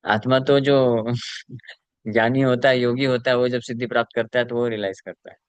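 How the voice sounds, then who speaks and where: A man's voice: medium pitch, flat delivery, normal speed, smooth, no room sound. A man, a spiritual teacher, giving a devotional scripture lecture in an explanatory way, mixing in English terms and reciting Sanskrit verses.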